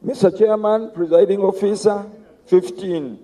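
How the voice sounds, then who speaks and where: Hoarse, slow-spoken man orating. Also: high-pitched, steady tone, dark tone, poor audio. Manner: slow, emphatic orator. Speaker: candidate for party office. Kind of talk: campaign speech at a party conference.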